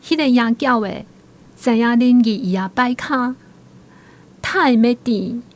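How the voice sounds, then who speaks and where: Radio play mother, Taiwanese accent, admonishing and practical, unhurried higher voice. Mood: matter-of-fact, admonishing. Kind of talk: radio drama acting.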